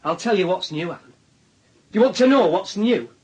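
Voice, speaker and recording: An actor playing an insinuating police detective in a radio drama, sardonic and taunting, husky. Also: male voice, sits high, animated, brisk, darkish tone, rough recording. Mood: needling. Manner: sardonic, taunting, leading questions. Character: insinuating police detective, needling a young suspect.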